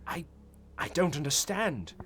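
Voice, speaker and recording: Man acting defiant gentleman, radio play, extremely animated pitch, forceful tone, formal, indignant. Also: a little slow. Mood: nervous defiance.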